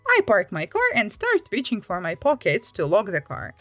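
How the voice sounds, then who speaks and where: A woman from Finland hosting a story channel, reading aloud in a dramatic, expressive way. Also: mid-range voice, moderate pace, no echo. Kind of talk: animated read-aloud storytelling. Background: background music.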